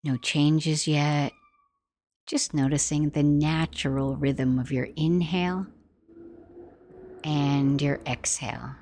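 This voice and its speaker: A woman guiding a meditation in a gentle, soothing, unhurried manner, like soft instructional narration. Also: low voice, steady tone. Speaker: meditation guide.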